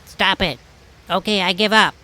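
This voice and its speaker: An actor playing a naive ten-year-old boy in an alligator costume, talking in a high, earnest, literal-minded way. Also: moderate pace, bright, forceful tone. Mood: whiny. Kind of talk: audio drama acting.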